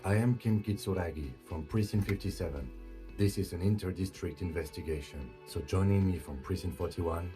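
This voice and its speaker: An actor playing a calm, restrained police lieutenant in a video game, speaking in a measured, formal, matter-of-fact way. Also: male voice, deep voice, over music, natural voice. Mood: formal, firm.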